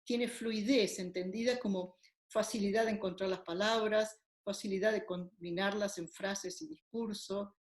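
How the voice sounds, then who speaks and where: A woman lecturer lays out her points one by one in a measured, explanatory way, now and then pausing on a filler. Her voice sits at an ordinary middle pitch. The room around her gives the sound a noticeable echo. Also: darkish tone. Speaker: linguistics lecturer. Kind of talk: recorded academic lecture. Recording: echoey room.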